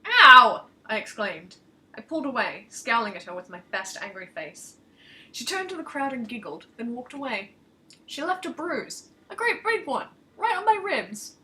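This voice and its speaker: A woman narrates a first-person tale in expressive storytelling style. Her voice sits a little high and is very bright and forward. She reads as an author sharing her own fiction. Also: moderate pace, low-quality recording. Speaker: author reading own short story aloud.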